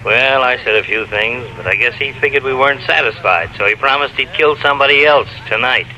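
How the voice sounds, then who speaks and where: A man's voice: medium pitch, fairly quick, natural voice. An actor playing a businesslike, weary male police lieutenant in an old radio detective drama. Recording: dull, hissy old radio recording.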